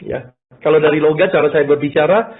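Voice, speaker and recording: A man's voice: fairly high pitch, brisk. A man from Malaysia giving an informal business talk through his own story, conversational and often checking in with listeners. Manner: conversational, checks in with listeners using tag questions. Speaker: business presenter. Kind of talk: informal motivational talk telling a personal story. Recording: muffled phone-like call audio.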